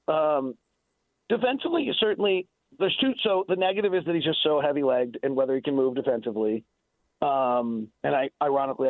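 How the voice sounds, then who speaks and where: A man's voice: medium pitch, dry recording. A man giving unscripted sports analysis as a radio guest, emphatic and often restarting his phrases. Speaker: sports analyst and radio play-by-play announcer interviewed on a show. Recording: tinny, dry phone-like radio line.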